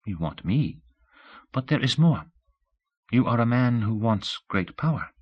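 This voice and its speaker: A blunt, shrewd young woman, poised and softly spoken, who gets straight to the point, put on by a man reading an audiobook. Her voice sits well above the reader's own deep tone. Her questions are pointed and inquisitive. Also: very animated, measured pace, muffled recording. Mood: candid. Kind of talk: audiobook character voice.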